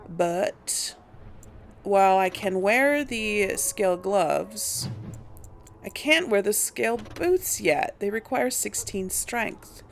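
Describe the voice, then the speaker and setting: A woman's voice: mid-range voice, animated, slow, gravelly, a little hiss or hum. A woman hosting a gaming video, giving casual playthrough commentary and musing aloud hesitantly.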